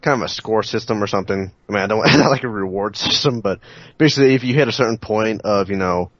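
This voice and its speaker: A man's voice: lowish voice, fast, a bit bright, dry recording. A young man co-hosting a podcast, talking hesitantly with lots of fillers in casual chat. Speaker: podcast co-host. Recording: dry, dull lo-fi home recording.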